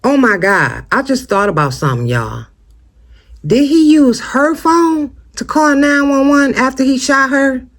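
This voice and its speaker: Slow-paced American commentator, emphatic and conversational. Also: high-pitched, expressive, a bit bright. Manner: conversational, emphatic, addressing viewers as y'all with rhetorical questions. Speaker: host of a true-crime commentary channel. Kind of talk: casual opinionated commentary to viewers.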